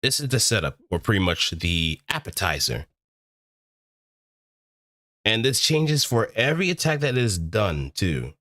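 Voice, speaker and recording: Low-voiced man explaining with lively, swooping pitch. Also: a little slow, long pauses, dry recording, studio quality. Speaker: streamer. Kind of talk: casual livestream tutorial on voice acting, explaining step by step.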